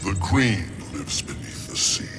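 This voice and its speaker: A towering plant monster from a fighting game. The voice is very low and growls with gravel. He speaks slowly and formally, a stern, ageless guardian of nature, over clear music. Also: very animated, full character voice. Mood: solemn menace. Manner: slow, formal, ponderous. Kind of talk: video game fight intro dialogue.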